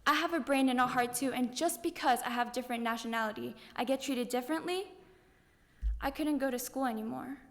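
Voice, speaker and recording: A woman's voice: high-pitched, a little fast, a bit bright. A young woman from Japan giving an earnest, emphatic, rehearsed personal speech as a student contestant. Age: young adult. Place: large indoor hall at a speech contest. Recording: reverberant hall.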